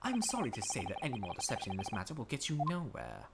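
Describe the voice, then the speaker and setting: Woman doing a lofty gentleman detective. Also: a bass voice, huge pitch swings, vocal fry, heavy background noise, voice pushed down, full character voice. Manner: lofty, deliberate, lecturing. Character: calm, superior gentleman detective. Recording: game music playing underneath.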